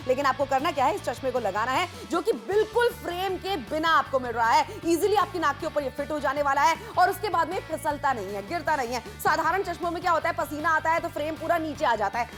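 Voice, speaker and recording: A woman presenting a home-shopping product on TV, chatty and persuasive, eagerly agreeing with her co-host, over background music. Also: high-pitched. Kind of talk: lively home-shopping pitch.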